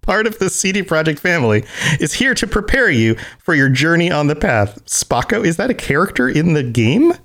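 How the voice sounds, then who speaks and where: Lively American man co-hosting a podcast. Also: moderate pace. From United States. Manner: animated, breaks into excited high exclamations. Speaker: podcast co-host. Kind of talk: casual chat, reading event listings.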